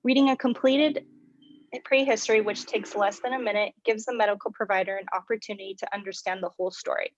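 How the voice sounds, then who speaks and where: A woman's voice: medium pitch, fairly even intonation, quick pace, a little hiss or hum. A woman giving scripted explanatory answers as a panelist on a health education program. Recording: dry, dull lo-fi sound.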